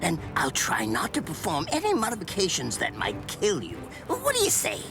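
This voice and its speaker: An actor playing a gleefully sadistic mad-scientist captain in an anime game, theatrical and mock-polite with condescension. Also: male voice, high-pitched, wildly swooping pitch, moderate pace, full character voice. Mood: creepy coaxing. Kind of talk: anime video game voice acting. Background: music.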